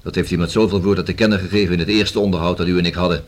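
Deep-voiced methodical police inspector. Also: male voice, fairly even intonation. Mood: formal, pressing. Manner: formal, deliberate, measured questioning. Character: methodical male police inspector, reasoning and probing. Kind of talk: radio drama acting. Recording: hissy old radio play recording.

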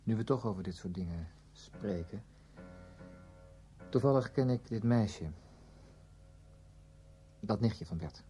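An actor playing a brooding, guilt-ridden man in a Dutch radio drama, speaking in a measured, introspective, hesitant way. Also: lowish voice, dark tone, soft background music. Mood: uneasy, probing. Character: brooding, guilt-ridden man narrating his past, protective. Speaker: narrator and lead character. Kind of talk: Dutch radio drama acting, confessional narration.

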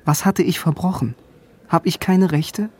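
A man's voice: high-pitched, fairly even intonation, a little slow, breathy edge. A man playing a wry, bookish young man who narrates his youth in a radio drama, articulate and self-ironic. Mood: indignant irony. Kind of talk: scripted first-person radio drama narration. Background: music.

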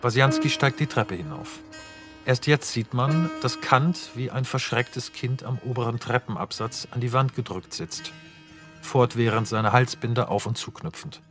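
A man narrating stage directions for a radio play in a measured, even and descriptive way. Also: mid-range voice, music in the background. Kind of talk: scripted narration.

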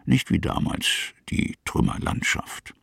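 A man narrating a radio drama in a gravelly, husky voice, musing in short phrases. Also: slow pace, fairly bright tone, dry recording, clean studio sound.

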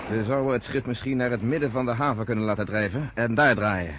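A decisive leader gives his orders at a rapid clip, played by a man. The voice sits mid-range and sounds firm and businesslike. This is a radio drama, and faint noise runs under the voice. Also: medium pitch, fairly bright tone, natural voice. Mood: commanding. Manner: brisk, commanding. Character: decisive man commanding a refugee voyage. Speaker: leader organizing a ship. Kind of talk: radio play acting.

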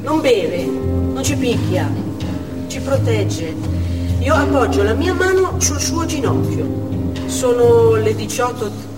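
A woman's voice: fairly high pitch, animated, slow pace. An actress playing a contented, observant Russian wife and mother, narrating her evening in a stage monologue. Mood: reflective. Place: stage in a theatre hall. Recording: echoey hall from far off, loud music.

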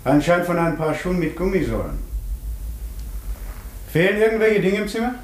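An inquisitive police inspector with a brisk, businesslike manner. The intonation is lively, and the vintage radio play recording is echoey. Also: male voice, high voice, slight background noise. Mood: brisk, probing. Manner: businesslike questioning. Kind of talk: 1950s German radio play acting.

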